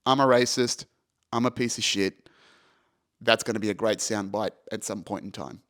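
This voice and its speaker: A man's voice: fairly low pitch, normal speed, fairly bright tone. Rambling, foul-mouthed man in his thirties. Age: 30s. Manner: rambling, swears freely, self-mocking. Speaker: podcast host. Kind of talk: casual solo podcast monologue.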